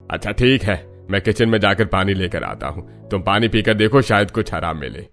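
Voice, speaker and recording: An older family man from a cartoon tale, voiced by a man. His voice is on the low side, measured and fatherly, with faint music underneath. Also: animated, fairly bright tone. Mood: caring. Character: older father-in-law, plain-spoken and caring. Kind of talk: cartoon story character voice.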